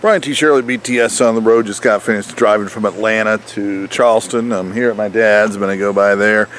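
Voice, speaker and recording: A man's voice: fairly even intonation, brisk, a bit bright. A man talking casually and ramblingly as a touring comedian promoting his show in a vlog, with noise behind him. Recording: noisy, engine and road-like rumble.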